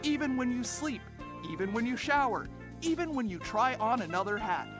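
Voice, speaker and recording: A man's voice: very high voice, animated, moderate pace, over music. A man playing a cheerful, insistent rule announcer in a promotional message on game radio.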